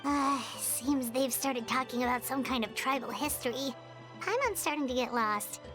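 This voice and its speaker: A woman voicing a tiny, childlike fairy companion in a video game, squeaky, perky and curious. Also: normal speed, close to an everyday voice. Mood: puzzled. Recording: game music behind the voice.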